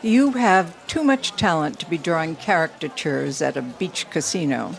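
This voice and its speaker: A woman acting in an audio drama as a guarded, wealthy businesswoman with a measured, dryly teasing delivery. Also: low voice, expressive, creaky, rough recording. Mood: sincere, businesslike. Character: dry, guarded, wealthy woman steel mill owner. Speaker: actor.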